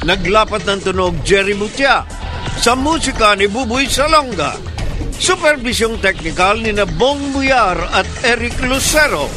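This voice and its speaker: A man's voice: high-pitched, animated, a little slow. A Filipino man announcing radio drama credits in a formal scripted style. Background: music.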